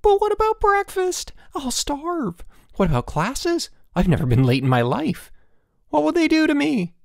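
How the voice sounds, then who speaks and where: A man voicing a fussy, high-strung schoolboy who wails in panic in a storybook reading. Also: huge pitch swings, normal speed, gravelly, no echo, clean studio sound, heavily acted. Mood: panicked. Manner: high-strung, wailing delivery. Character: fussy, panicky schoolboy roommate, easily horrified.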